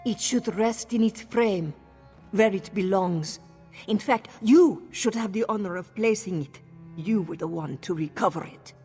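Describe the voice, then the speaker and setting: A woman's voice: mid-range voice, measured pace, mellow, natural voice. A synthetic woman voice as a proud cat-folk townswoman. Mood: eager. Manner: speaks of herself in third person. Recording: reverb with game music behind. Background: game music.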